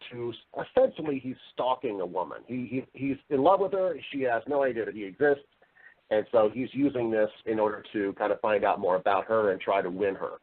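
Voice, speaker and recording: A man's voice: mid-range voice, narrow, tinny audio. A man speaking as an interview guest, casual and wry, often restarting and rephrasing his sentences with self-deprecating humour. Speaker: interview guest, voice-over artist and actor.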